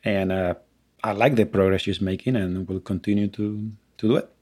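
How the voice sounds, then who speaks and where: A man in casual conversation, speaking in a measured, thoughtful way. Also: fairly low pitch, fairly even intonation, darkish tone.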